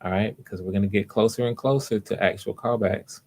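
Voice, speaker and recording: A man hosting live online auditions, talking casually to viewers in an unhurried, explanatory way, thinking aloud as he goes. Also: lowish voice, steady tone, darkish tone. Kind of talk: casual livestream talk to viewers.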